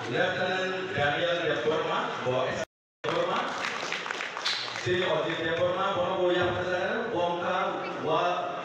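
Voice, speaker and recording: Slow, halting stadium announcer, man. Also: a little high. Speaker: event announcer. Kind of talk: public address announcing. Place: outdoor stadium ceremony. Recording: distant PA in echoing stadium, crowd and noise.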